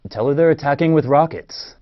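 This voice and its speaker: A man's voice: fairly high pitch, a little fast, natural voice. An actor in a play reading, playing an anxious, protective man in an Israeli family, on a dull recording. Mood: tense, insistent.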